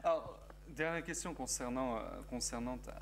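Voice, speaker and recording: Un homme d'une trentaine d'années qui mène une interview télévisée en hésitant, beaucoup de « euh », sur un ton joueur, micro-cravate dans une salle de théâtre vide. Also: male voice, medium pitch, moderate pace, slight background noise. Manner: hesitant, many fillers, playful. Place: empty theatre auditorium. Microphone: lapel.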